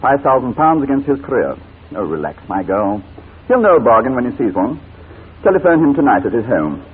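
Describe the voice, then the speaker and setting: A smug, cynical blackmailer played by a man in a 1940s radio play. He talks fast and slick, bossing with swooping pitch, over a narrow tinny broadcast. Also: sing-song, a little hiss or hum, lightly acted. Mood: bossy. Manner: slick, commanding. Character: smug, cynical male blackmailer, coolly bossy. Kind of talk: 1940s radio drama acting.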